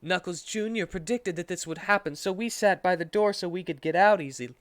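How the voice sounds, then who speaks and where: A man's voice: high voice, fairly quick, voice pushed up, natural voice. A man voicing a concerned female cartoon bat in a comic story reading.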